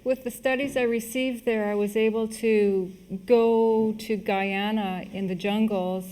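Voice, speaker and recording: A woman giving a public health lesson in short, plain segments, pausing for an interpreter. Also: fairly high pitch, fairly even intonation, a little mellow, clean tone. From Canada. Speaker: health lecturer, medical missionary.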